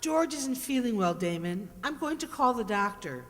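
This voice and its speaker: An actress playing a chirpy, coaxing young wife in an old-time radio comedy. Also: female voice, fairly high pitch, very animated, moderate pace. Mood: concerned. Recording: echoey hall, long reverb.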